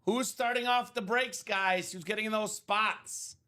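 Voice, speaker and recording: A man in his thirties hosting a live sports card break stream, chatting casually and pitching to viewers by name. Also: steady tone, a little slow, bright, forceful tone. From Chicagoland area, born in Bulgaria. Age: 30s.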